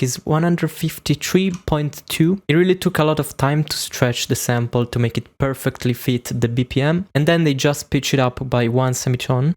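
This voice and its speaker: A man's voice: a little high, a little mellow. A young man with an Italian accent, casually explaining how a beat is made in a music production tutorial. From Italy. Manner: casual, self-deprecating, explanatory. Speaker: beatmaker YouTuber.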